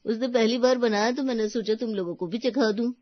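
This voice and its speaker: A woman's voice: a little high, fairly quick, no echo, lo-fi sound, close to an everyday voice. A woman voicing a proud, friendly, chatty older neighbour auntie in story dialogue.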